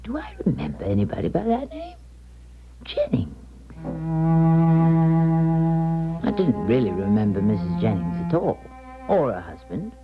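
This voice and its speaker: An actress playing a grand, weary older clairvoyant in a radio drama, speaking in a measured, solemn, theatrical way. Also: female voice, rumbling low, full character voice. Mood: reflective. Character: grand, weary clairvoyant woman recalling her gift. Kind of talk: 1970s radio drama acting and narration. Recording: muffled 1970s radio broadcast, music behind.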